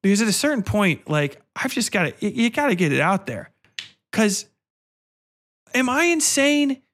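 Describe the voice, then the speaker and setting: A man with a fairly high voice hosts a casual podcast. He rambles through blunt opinions in loose, slangy language, swearing freely. He sounds exasperated and opinionated. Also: big pitch swings, normal speed, a bit bright. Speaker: podcast host.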